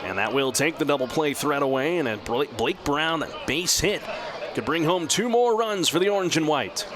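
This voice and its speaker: Higher-voiced man calling baseball over ballpark noise. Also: lively intonation, normal speed. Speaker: radio play-by-play announcer. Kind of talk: live baseball play-by-play commentary. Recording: clear outdoor ballpark background noise. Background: outdoor stadium ambience.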